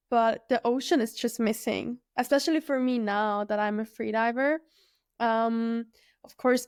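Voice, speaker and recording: A woman in her early thirties from Switzerland, a freediver guest speaking in a relaxed, thoughtful way with many fillers. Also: a little high, clear, resonant tone, dry recording, clean studio sound. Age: 30s. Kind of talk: casual conversational interview.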